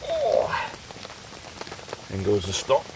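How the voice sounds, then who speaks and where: A man with a Scottish accent softened by years in Cornwall and Canada, chatting relaxed and laughing easily at an outdoor camp. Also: fairly low pitch, drawn-out words. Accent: Scottish, mixed with time in Cornwall and Canada. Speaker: outdoor vlogger. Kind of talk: casual chat by a campfire. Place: woodland camp outdoors in heavy rain. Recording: rain noise outdoors.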